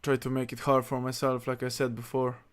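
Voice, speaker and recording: A man's voice: medium pitch, monotone, brisk, clean tone. A man from Slovenia, chatting casually while livestreaming a basketball video game and reading menus aloud to himself. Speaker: streamer. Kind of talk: casual gaming livestream chat.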